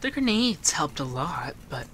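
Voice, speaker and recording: A man playing a doting, teasing feminine male monster companion in a softspoken, often whispered ASMR roleplay. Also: a little high, sing-song, unhurried, a little hiss or hum. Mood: easygoing, warm. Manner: breathy, often dropping to a whisper.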